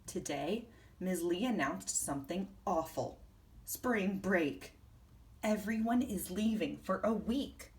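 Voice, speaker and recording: A woman reading a children's storybook aloud in a deliberate, expressive way. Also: medium pitch. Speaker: storybook reader.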